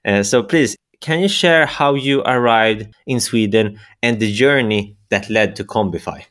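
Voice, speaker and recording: A man hosting an interview podcast, asking questions in a friendly, slightly halting way. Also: fairly low pitch, fairly bright tone. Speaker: podcast host.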